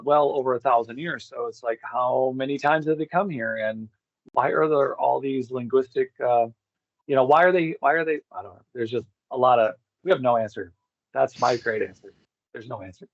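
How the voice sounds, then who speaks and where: Male interview guest, mid-pitched, rambling and conversational, with dry, slightly muffled sound. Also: normal speed, no room sound. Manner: rambling, thinking aloud. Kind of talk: casual interview chat about ancient history.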